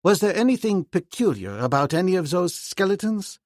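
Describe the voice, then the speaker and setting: A male narrator gives voice to a steady, decisive young hero raised in the jungle, speaking plainly and firmly. The voice sits a little high and moves expressively. Close, dry storybook reading. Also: normal speed, no room sound. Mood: probing. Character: calm, firm young man raised in the jungle. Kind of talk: audiobook character voice.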